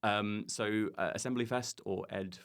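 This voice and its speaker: A man's voice: on the low side, steady tone, unhurried, gravelly. A man chatting casually as a comedian guest on a podcast, joking in a self-deprecating way. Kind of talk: casual comic chat.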